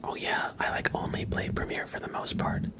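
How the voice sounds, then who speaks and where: A man speaking in a soft, breathy whisper, chatting casually with viewers as an ASMR livestream host. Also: a little fast.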